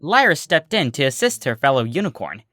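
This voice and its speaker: A narrator reading a scripted story in an animated storytelling style. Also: medium pitch, a little fast, dry recording, faint music behind. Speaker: narrator, fan fiction reader.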